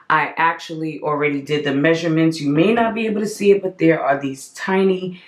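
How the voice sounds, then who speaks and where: A slightly hoarse woman hosting a casual crafting tutorial, chatting viewers through each step as she works. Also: low voice. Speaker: craft channel host.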